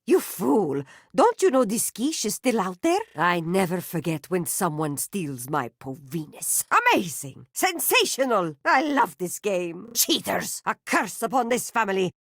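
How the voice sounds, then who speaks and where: A woman's voice: mid-range voice, sing-song, measured pace, dry recording, studio quality. An actress playing a melodramatic middle-aged female circus matriarch, grandiose, scolding and guilt-tripping. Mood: commanding. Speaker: video game character. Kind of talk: video game voice acting.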